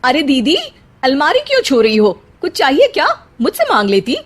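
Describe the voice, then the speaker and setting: A woman's voice: high-pitched, huge pitch swings, normal speed, faint music behind, voice pushed up. A woman voicing a middle-aged sister-in-law who is sarcastic, suspicious and grudging in a cartoon story. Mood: suspicious, accusing. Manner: sarcastic, needling, exclamatory.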